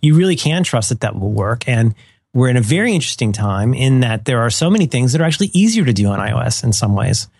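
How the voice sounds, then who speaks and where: A slightly hoarse man co-hosting a podcast, chatting casually in a rambling, self-deprecating way. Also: medium pitch, very animated, quick pace, darkish tone, no room sound, clean studio sound. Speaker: podcast co-host. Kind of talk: casual chat.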